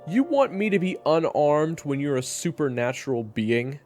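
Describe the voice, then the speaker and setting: A man's voice: on the high side, sing-song, normal speed, a little mellow, soft background music, voice pushed up. A man playing a wary, sardonic gunslinger who talks in a bold, casual, challenging way in an audio roleplay. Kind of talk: audio roleplay drama acting.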